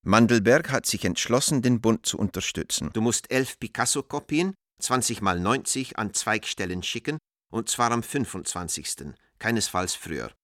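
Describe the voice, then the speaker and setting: An actor playing an art dealer who reads out his letters in a measured, deliberate way in a radio play. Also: on the low side, lively intonation, a bit bright, no room sound, clean studio sound. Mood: controlled, insistent.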